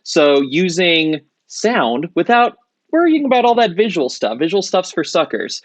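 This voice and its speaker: A man's voice: high-pitched, sing-song, unhurried, no room sound. A man hosting a podcast interview, chatty and joking, often correcting himself as he goes. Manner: joking, rambling with self-corrections. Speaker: podcast host. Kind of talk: casual interview chat.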